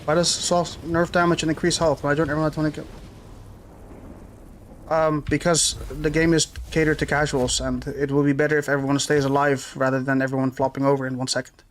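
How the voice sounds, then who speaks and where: A man streaming a game live, rambling casually and thinking out loud as he plays and answers chat. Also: fairly high pitch, a little hiss or hum. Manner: rambling, laid-back, thinks aloud with fillers.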